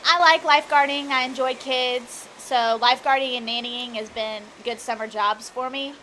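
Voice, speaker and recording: A woman's voice: high-pitched, steady tone, normal speed, sharp tone, low-quality recording. An eighteen-year-old woman talking casually in a recorded vox pop interview. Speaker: lifeguard. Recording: faint ambient noise on location.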